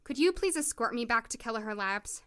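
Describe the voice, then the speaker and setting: A woman's voice: a little high, animated, brisk, sharp tone, clear, resonant tone. An actress playing a plucky young woman doctor in an audio drama, wry and determined in manner. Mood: dry, composed.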